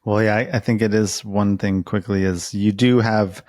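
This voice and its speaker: Male interviewer: low gravelly register, close dry sound, meandering reflective delivery, casual conversation. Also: flat delivery, moderate pace, darkish tone, no room sound. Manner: rambling, reflective, hedging. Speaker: interviewer who works as a therapist.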